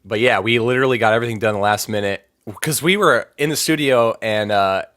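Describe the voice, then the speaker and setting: A man's voice: lowish voice, brisk, a bit bright, no echo, studio quality. A man talking as a podcast guest in easygoing chat, rambling with fillers and restarts. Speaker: band vocalist, podcast guest. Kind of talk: casual interview chat.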